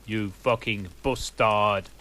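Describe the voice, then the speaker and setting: A man voicing a crude, angry teenage boy in a slow swearing drawl. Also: on the low side, fairly even intonation, sharp tone.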